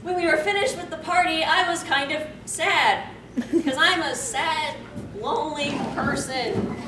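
Young female panel host, very high voice, slow theatrical delivery, giggly asides, recorded far off in an echoey hall. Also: bright, forceful tone. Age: young adult. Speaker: fan panel host reading fan fiction. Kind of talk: dramatic fan fiction reading with joking asides. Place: convention panel room stage. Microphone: far camera. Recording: distant camera in echoey noisy hall.

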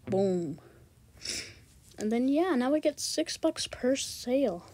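Bright-voiced, unhurried young-sounding gamer. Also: medium pitch, sing-song. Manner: repeats words and phrases, slangy. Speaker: gaming video host. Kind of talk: casual gameplay commentary.

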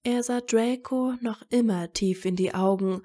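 A woman's voice: a little high, measured pace, warm tone. A woman narrating a scripted fan fiction story as a storyteller.

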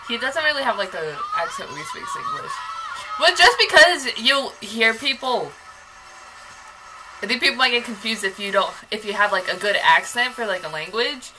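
A woman's voice: on the high side, very animated, normal speed, low-quality recording. A young adult woman in a casual, joking reaction commentary, talking to camera from a small bedroom. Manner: playful, joking, chatty. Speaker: vlogger reacting to music videos. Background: music from the clips.

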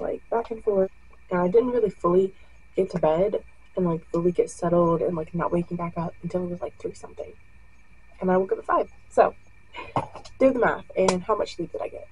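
A woman's voice: fairly low pitch, darkish tone, a little hiss or hum, rough recording. A young woman talking casually to the camera in her bedroom, rambling with lots of fillers. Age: young adult. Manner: chatty, rambling, many fillers. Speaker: vlogger. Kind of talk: casual vlog talk to camera. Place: bedroom at home. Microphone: webcam or phone camera close up.

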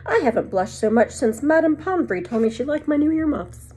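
A woman voicing a calm, whimsical old male wizard in a storybook, with a gentle, unhurried manner. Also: sits high, a little hiss or hum, pitched above the performer's own voice. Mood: modest.

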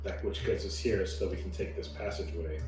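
A man's voice: low-pitched, fast talker, darkish tone, distant mic, slight background noise. A man chatting casually as a player in a tabletop game, recorded in a very echoey space away from the mic. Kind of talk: casual chat.

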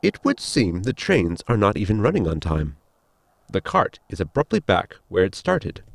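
A man's voice: on the low side, wildly swooping pitch, gravelly, full character voice. An actor playing a polite, precise spaceship science crewman in a comedy audio drama, speaking formally and earnestly. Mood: dry, matter-of-fact. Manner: formal, measured, explanatory.

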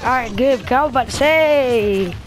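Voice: mid-range voice, moderate pace, soft background music. A young streamer chatting casually over gameplay in a slangy, rambling way. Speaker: gaming streamer.

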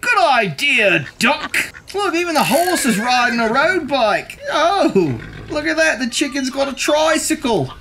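Animated man reading a storybook aloud. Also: very high voice, measured pace, few pauses, background noise. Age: 30s. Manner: theatrical, jokey, animated. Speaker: children's book reader. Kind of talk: expressive storybook read-aloud with playful asides. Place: living room, seated on a couch.

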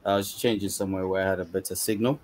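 Ugandan-born man, very low voice, loose panel-guest delivery over video call. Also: fairly even intonation, very fast, a little mellow, a little hiss or hum. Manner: conversational, frequent "you know" fillers. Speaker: guest mentor. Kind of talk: casual panel discussion. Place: dim room indoors.

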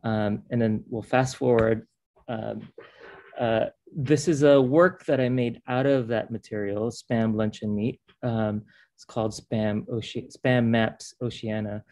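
A man's voice: lowish voice, steady tone, slow pace, mellow. Hesitant man giving an artist talk. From Philippines. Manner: hesitant, many ums and uhs. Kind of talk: artist talk on a video call. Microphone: video call.

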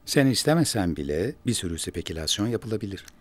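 An actor in his forties playing a composed wealthy businessman who talks his half-sister into a short break from the press, in a measured, persuasive way. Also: lowish voice, big pitch swings. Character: composed wealthy businessman, protective older brother. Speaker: older brother in a rich family. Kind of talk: television drama acting. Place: office set.

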